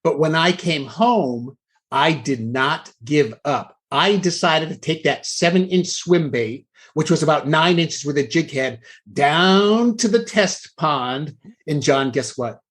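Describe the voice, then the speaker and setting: A man's voice: a little high, animated, slow, a bit bright. A man co-hosting a podcast, telling fishing stories in a casual, conversational style. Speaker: podcast host.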